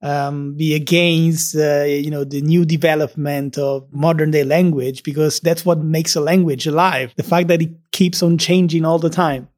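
A man from Naples with a Neapolitan Italian accent, hosting a relaxed interview in English and laughing easily. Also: on the high side, moderate pace, few pauses. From Naples, Italy. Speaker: podcast host. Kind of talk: conversational interview.

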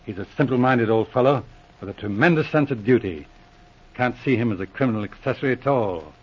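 An actor playing a thoughtful, kindly police sergeant who reasons deliberately, in 1940s radio drama style. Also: male voice, mid-range voice, expressive, slightly rough. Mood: thoughtful, puzzled. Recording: muffled vintage radio recording.

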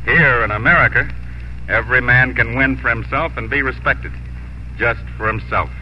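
An actor playing an earnest, proud young immigrant cattle drover in 1950s radio drama acting and narration. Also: male voice, mid-range voice, moderate pace, slight background noise, natural voice. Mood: earnest, firm. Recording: muffled old radio broadcast, music.

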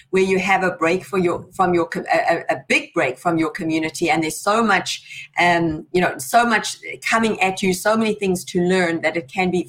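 A woman's voice: fairly low pitch, low-quality recording. A woman hosting an interview, asking questions with frequent ums and easy laughs. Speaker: podcast host.

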